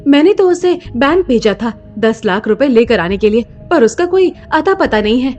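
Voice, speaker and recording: A woman playing an anxious, status-conscious young businesswoman in an audio drama, dramatic and expressive. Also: on the high side, normal speed, dull audio. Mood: worried. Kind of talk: Hindi audio drama acting. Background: background music.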